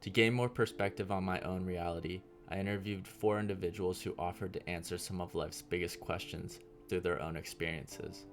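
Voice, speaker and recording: A man narrates a documentary in a very deep, gravelly voice. His delivery is scripted, presenting the people he has interviewed. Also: fairly even intonation, normal speed, few pauses, soft background music.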